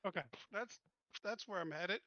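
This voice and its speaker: A man's voice: sits high, normal speed, bright, forceful tone. A man talking casually as a player in a tabletop roleplaying game. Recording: dull, lo-fi voice audio.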